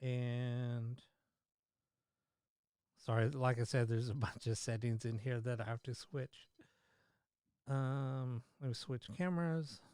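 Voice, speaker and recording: A man hosting a paranormal livestream, chatting casually with many fillers and restarts, his voice slightly hoarse. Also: medium pitch, fairly even intonation, slow pace, long pauses, a little mellow, no echo, clean studio sound. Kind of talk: casual live-stream chat.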